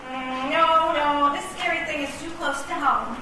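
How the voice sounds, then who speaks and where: Defiant, devoted lady chess piece, played by an actress, high and emphatic, comic radio play, heard across an echoey room. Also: fairly even intonation, normal speed, over music, close to an everyday voice. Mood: concerned. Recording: very echoey, distant, noisy room.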